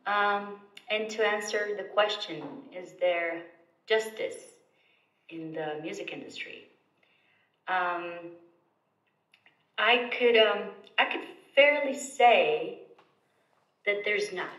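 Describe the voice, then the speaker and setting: A woman in her thirties from Bulgaria giving a measured self-introduction with pauses, played back over loudspeakers in a room. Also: mid-range voice. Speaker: musician, festival organiser. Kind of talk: recorded self-introduction and statement. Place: video played through speakers in a lecture room. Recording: echoey, distant loudspeaker playback of video.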